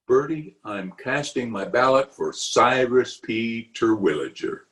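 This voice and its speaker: A pompous middle-aged uncle acted by a man in an old-time radio comedy. His mid-pitched voice swoops widely as he drags out indignant words. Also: big pitch swings, fairly bright tone. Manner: pompous, indignant, drawn-out delivery. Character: pompous, blustering middle-aged uncle, a small-town official. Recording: remote home recording over video call.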